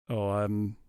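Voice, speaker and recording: A man's voice: on the low side, dark tone, clear, resonant tone, no echo, clean studio sound. A man hosting a livestream, chatting informally and enthusiastically while musing aloud. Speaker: livestream host. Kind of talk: casual livestream chat.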